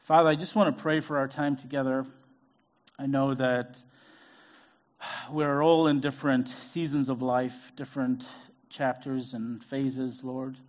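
A man's voice: medium pitch, level tone, measured pace, muffled recording. A middle-aged man from Zambia, giving a conversational sermon as a guest preacher. He talks with many fillers and restarts. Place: church sanctuary.

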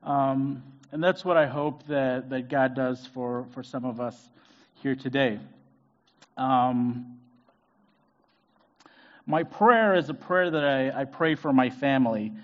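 A man's voice: mid-range voice, slow pace, pauses often, highs cut off. A middle-aged man from Zambia, giving a conversational sermon as a guest preacher. He talks with many fillers and restarts. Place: church sanctuary.

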